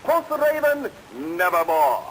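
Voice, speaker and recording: A man's voice: extremely high pitch, very animated, unhurried, fairly bright tone, exaggerated voice, low-quality recording. A man playing an eager, pompous, self-pleased announcer in 1940s radio comedy, polished and theatrical. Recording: old radio recording, faint rain noise.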